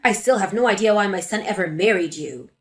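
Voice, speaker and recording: A woman voicing a spiteful older mother-in-law who lectures and sneers, with a lowered voice. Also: fairly quick, soft background music, lightly acted. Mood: indignant. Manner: scornful, lecturing.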